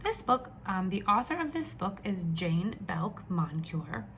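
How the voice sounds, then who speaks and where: A woman teacher reading aloud to young children, with expressive questions and drawn-out emphasis. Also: medium pitch, a little hiss or hum. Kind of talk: children's read-aloud lesson.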